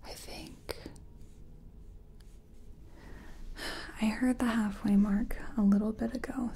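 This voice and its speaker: Girl acting as a nervous makeup artist, slow mellow asmr whisper, kinda muttering to herself. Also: medium pitch, creaky, slight background noise. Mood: hurried, unsure. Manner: hesitant, muttering to herself, thinking aloud. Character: nervous female makeup artist competing in a contest. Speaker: ASMR performer. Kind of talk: soft-spoken whispered ASMR roleplay.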